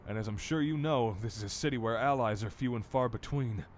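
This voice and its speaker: A man playing an earnest, persuasive mayoral candidate in an audio drama, speaking with sincere, measured polish. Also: mid-range voice, slight background noise, lightly acted. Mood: fervent, grave.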